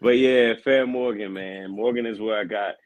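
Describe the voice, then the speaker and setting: Deep-voiced easygoing man. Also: fairly even intonation, normal speed. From Aberdeen, Maryland. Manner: easygoing, laughs often, says "man" a lot. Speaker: guest, vocal coach and assistant pastor. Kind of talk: casual podcast chat.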